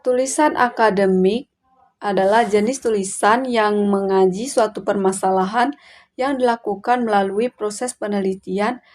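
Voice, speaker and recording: A woman's voice: medium pitch, fairly even intonation, measured pace, darkish tone. A woman presents a student assignment in a mid-range voice. She reads from a prepared text, reciting point after point. Her delivery is that of someone reading aloud, with occasional stumbles over words. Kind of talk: scripted lesson narration, read aloud.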